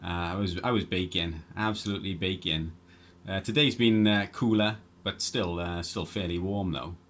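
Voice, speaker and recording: A man's voice: deep voice, steady tone, moderate pace, fairly bright tone, a little hiss or hum. A man chatting casually as an amateur radio operator, rambling and hesitant, often repeating himself. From Wales. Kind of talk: casual ham radio chat.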